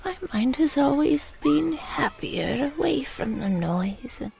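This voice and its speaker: Frail, dying old woman, played by an actress, reflective and hushed, unhurried pace, gravelly edge, for intimate radio play. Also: high-pitched, lively intonation, full character voice. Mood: sombre, reflective. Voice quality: breathy, whispery, creaky. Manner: slow, halting, reflective storytelling. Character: frail elderly dying mother recalling wartime childhood. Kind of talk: intimate audio drama monologue.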